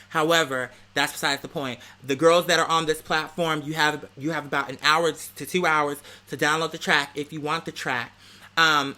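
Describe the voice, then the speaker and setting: Man, high-pitched voice, bright and forceful, sassy slangy gossip, emphatic casual vlog storytelling. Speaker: online creator and podcast host.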